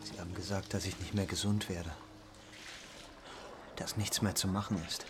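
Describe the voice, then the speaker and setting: A man's voice: deep voice, flat delivery, moderate pace, over music, close to an everyday voice. An actor playing a bedridden young man in a radio drama, bitter, mocking and full of self-pity. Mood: despairing. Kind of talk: naturalistic German radio drama acting.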